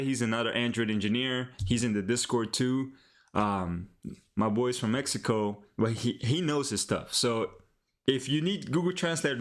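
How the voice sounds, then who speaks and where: A young man from Colombia chatting casually with viewers during a live coding stream, laid-back and slangy, restarting words and sometimes dropping into Spanish, speaking into a headset. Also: mid-range voice. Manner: relaxed, slangy, switches into Spanish, frequent restarts. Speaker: live-streaming app developer.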